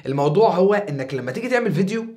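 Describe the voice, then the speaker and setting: A young man in his twenties with an Egyptian Arabic accent, talking casually to camera as a YouTuber in a small foam-paneled room, animated and a bit tired. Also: sits high, rapid-fire. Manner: animated, gestures a lot with his hands.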